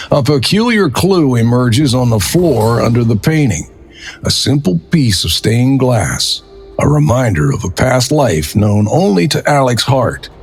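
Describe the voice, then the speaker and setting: A man's voice: mid-range voice, darkish tone, slightly rough. A man narrating a detective audio drama in a brooding, dramatic storytelling manner. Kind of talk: scripted noir narration.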